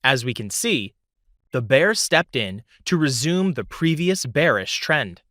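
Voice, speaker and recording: A man reading a scripted instructional narration in a measured, didactic way as a tutorial voice-over. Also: medium pitch, sing-song, a bit bright, no echo.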